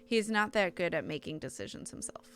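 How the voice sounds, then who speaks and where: A woman's voice: lowish voice, sing-song, a little fast. A woman streaming a visual novel, reading the text aloud with casual asides and often stumbling and correcting herself.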